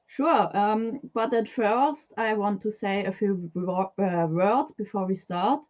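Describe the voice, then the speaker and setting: A woman's voice: mid-range voice, takes it slowly, a little mellow, lo-fi sound. A woman from Switzerland with a Swiss German accent, a guest talking about keeping reptiles, hesitant with many fillers. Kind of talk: live stream interview. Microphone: video call.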